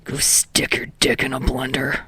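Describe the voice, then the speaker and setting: A woman's voice: extremely low pitch, completely flat delivery, normal speed, bright, forceful tone, slightly rough, no room sound, pitched below the performer's own voice, exaggerated voice. A woman voicing a surly, sardonic, foul-mouthed teenage boy in a story reading, with a biting mutter. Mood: biting, irritated.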